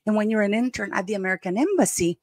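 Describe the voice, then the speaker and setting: A woman's voice: mid-range voice, animated, rapid-fire, darkish tone, no echo, studio quality. Emphatic woman with a Spanish accent. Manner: emphatic, indignant, repeats words for stress. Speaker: commentary channel host. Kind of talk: opinionated casual commentary.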